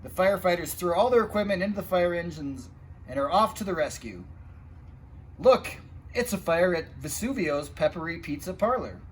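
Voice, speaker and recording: Male storytime reader, slightly high voice, expressive and child-directed, some background noise. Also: normal speed, rough recording. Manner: expressive, addressing young listeners with asides and sound effects. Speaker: firefighter reading a children's picture book aloud. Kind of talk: animated storytime read-aloud.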